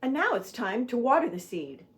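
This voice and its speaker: A woman's voice: on the high side, very animated, moderate pace. A woman storyteller narrating a scripted children's tale for a puppet show.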